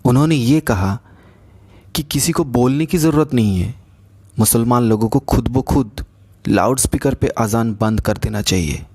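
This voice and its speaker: A man presenting news commentary and reading out posts in a deliberate way, halting in English. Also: medium pitch, darkish tone, breathy edge. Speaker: host of a news commentary channel.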